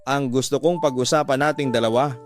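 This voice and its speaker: A man's voice: medium pitch, steady tone, fairly quick, soft background music, natural voice. Man voicing a haughty jealous wife, curt and commanding. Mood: stern, accusing. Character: haughty, jealous estranged wife confronting a teacher. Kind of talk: Filipino radio drama acting.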